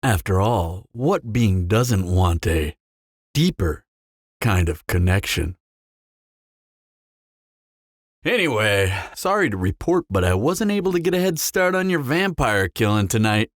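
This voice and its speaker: A man's voice: on the low side, sing-song, dry recording, studio quality. Man acting a smug, swooping flirtatious vampire. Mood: flirty, amused. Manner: teasing, smug drawl with dramatic pauses. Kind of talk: scripted audio roleplay, intimate character acting.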